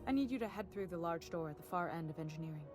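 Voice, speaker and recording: An actress playing a brisk, wry woman boss aboard a spaceship in a video game, dry and matter-of-fact. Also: on the low side, lively intonation, natural voice. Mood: dry, businesslike. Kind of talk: video game dialogue acting. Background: music.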